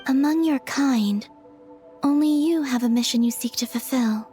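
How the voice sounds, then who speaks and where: A woman voicing a calm, perceptive and gently kind young investigator in a video game, speaking in a measured, thoughtful, soft-spoken way. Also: high voice, faint music behind, natural voice. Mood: gentle, reassuring.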